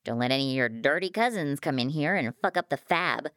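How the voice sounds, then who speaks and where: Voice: mid-range voice, expressive, fairly quick, a bit bright, clear, resonant tone, no room sound, studio quality. A woman voicing a crude young female junior private, blunt and grunting, in an audiobook story. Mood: gruff.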